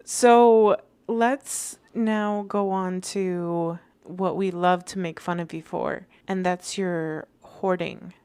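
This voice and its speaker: A woman's voice: on the low side, steady tone, takes it slowly, few pauses, a little mellow, clean tone, no room sound. A woman hosting a podcast, chatting casually, laughing a lot and saying "like" often. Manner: bubbly, laughs a lot, many "like"s. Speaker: podcast host. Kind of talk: casual chat.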